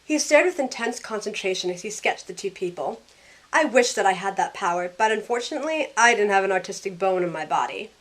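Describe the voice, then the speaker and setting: A woman's voice: mid-range voice, expressive, a little fast, a bit bright. A woman playing a snarky young heroine in an audio drama, narrating in the first person and speaking her lines with wry sarcasm. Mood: wry narration. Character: snarky young woman thrown back aboard the Titanic. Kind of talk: first-person scripted narration and acted dialogue.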